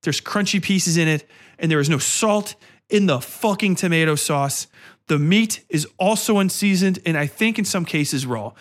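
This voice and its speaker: Exasperated, slangy man with a higher-pitched voice. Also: moderate pace. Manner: profane, blunt, exasperated. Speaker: podcast host. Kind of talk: casual opinionated rant.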